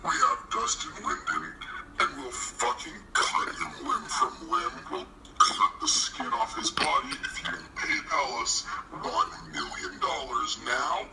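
A menacing masked villain kidnapper through a voice-changer filter. The delivery is slow and threatening, with wild swings in pitch and a gravelly grain. It plays from a phone speaker amid clear noise. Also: male voice, very animated, distant mic. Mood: cold menace. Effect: voice-changer app, distorted masked-villain filter. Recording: noisy phone speaker playback.

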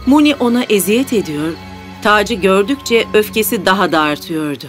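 A woman's voice: mid-range voice, lively intonation, moderate pace. A woman narrating a scripted fairy tale as the storyteller. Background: background music.

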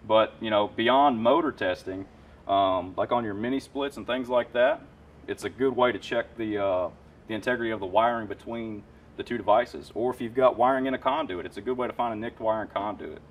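A man's voice: on the low side, fairly quick, distant mic, a little hiss or hum. A man in his thirties, an HVAC technician, explaining tools in casual, enthusiastic shop talk with lots of fillers. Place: warehouse parts room with shelves.

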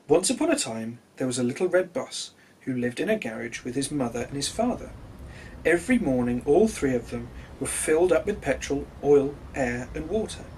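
A man in his thirties reading children's stories expressively, with deliberate dramatic pauses, recorded in a living room. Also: medium pitch, very animated, darkish tone, a little hiss or hum, low-quality recording. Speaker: children's storyteller. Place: small cluttered living room, indoors.

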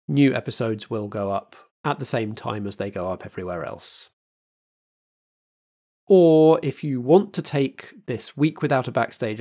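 A man hosting as a flamboyant theatrical compere. He reads announcements in a camp, luvvie style. His voice sits at an ordinary middle pitch. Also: a little mellow. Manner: camp, luvvie stage host. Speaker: podcast compere.

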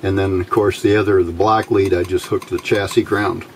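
A middle-aged man giving an informal hands-on tutorial in halting short phrases, pausing often as he explains. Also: deep voice. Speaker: electronics hobbyist demonstrating a homemade circuit. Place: indoors at a workbench. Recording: steady clear background noise.

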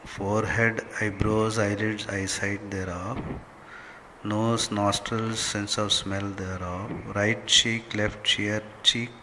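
A man leading a guided meditation as a spiritual teacher, giving gentle instructions in short, measured phrases. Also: lowish voice, flat delivery. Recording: long hall reverb, faint background noise.

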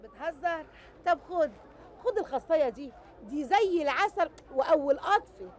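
An actress with a rural Egyptian accent playing a village grocer's daughter who coaxes insistently. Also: female voice, sits high, expressive, normal speed, darkish tone, slight background noise. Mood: coaxing. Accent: rural Egyptian Arabic. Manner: coaxing, rustic, insistent.

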